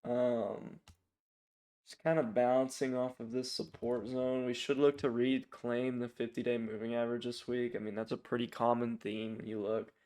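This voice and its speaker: A man hosting a casual, unscripted stock watchlist, raspy from strep throat, informal and hesitant as he thinks aloud. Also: medium pitch, level tone, normal speed, darkish tone. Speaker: stock trading channel host.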